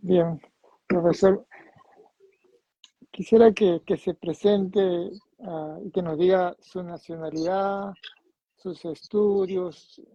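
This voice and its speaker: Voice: medium pitch, fairly even intonation, slow pace, long pauses, warm tone, slightly rough, no echo. A host conducting a casual interview, recorded with dull, lo-fi sound. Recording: dull, lo-fi audio.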